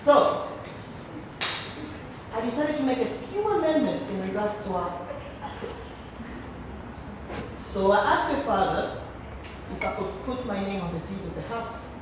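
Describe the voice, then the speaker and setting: A woman playing an imperious, scheming middle-aged wife and mother in a stage play, theatrical and deliberate. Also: medium pitch, expressive, lightly acted. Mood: mocking, calculating. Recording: distant, echoey, noisy theatre recording.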